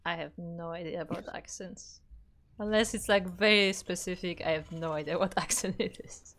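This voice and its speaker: A woman's voice: lowish voice, steady tone, moderate pace, fairly bright tone. A woman co-commentating an esports match in a casual, conversational and playful style. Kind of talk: casual chatty game commentary.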